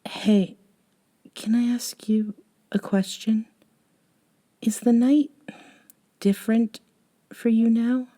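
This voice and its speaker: A woman playing a gentle, caring young woman with a wistful streak in an intimate ASMR roleplay, speaking softly and hesitantly and often dropping toward a whisper. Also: a little high, fairly even intonation, slow, long pauses. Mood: gentle curiosity.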